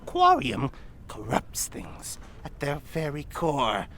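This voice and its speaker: A woman's voice: huge pitch swings, slow pace, a bit bright, full character voice. A woman playing a menacing, bloodthirsty demon in the shape of an old lady, making theatrical, ominous pronouncements in audio fiction comedy. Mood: ominous relish. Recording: low rumbling background noise.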